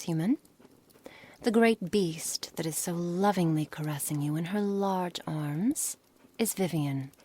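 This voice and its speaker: A woman playing a haughty, coolly controlling witch in an ASMR roleplay, speaking formally and deliberately in a breathy voice that often nears a whisper. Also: on the low side, darkish tone. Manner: slow, formal, deliberate, condescending. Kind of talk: ASMR roleplay, scripted monologue to the listener.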